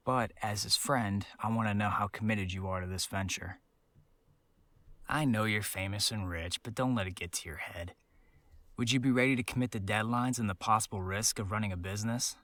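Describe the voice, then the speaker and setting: A man's voice: lowish voice, a bit bright, no room sound, close to an everyday voice. An actor playing a blunt, skeptical young male music producer with a serious, challenging manner in a visual novel game.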